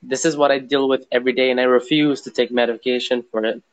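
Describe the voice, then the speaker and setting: A man's voice: medium pitch, little pitch movement, quick pace, lo-fi sound. A man calling in to a casual chat, sick with a sore throat and draining sinuses, explaining in a rambling, roundabout way. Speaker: caller.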